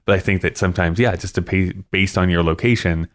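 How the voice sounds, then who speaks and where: Male podcast co-host, low voice, calm critic. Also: fast talker. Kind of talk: casual film-discussion chat.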